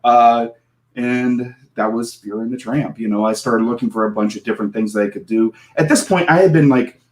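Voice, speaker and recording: A man's voice: mid-range voice, moderate pace, darkish tone. A man in his forties, a comic creator guest on a podcast, telling a rambling, self-deprecating story and laughing often. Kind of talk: casual podcast interview.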